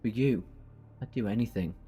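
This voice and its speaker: A man's voice: lowish voice, normal speed, mellow, slightly rough, faint music behind. A man playing a quirky, compassionate, talkative time-travelling hero in an audio drama, earnest and wry. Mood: earnest, self-sacrificing.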